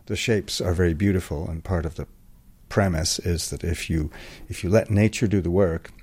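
A middle-aged man from England, a metalsmith, answers a radio host's questions in a low, deep voice. He speaks thoughtfully and hesitantly, pausing often on ums and uhs as he explains his craft. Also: fairly even intonation, darkish tone, slightly rough. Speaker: guest metalsmith.

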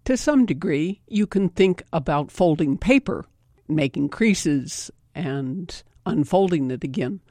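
Measured woman hosting a public radio arts show. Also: low-pitched, expressive, mellow, dry recording. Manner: measured, articulate, spells things out. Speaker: radio arts program host. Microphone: studio microphone.

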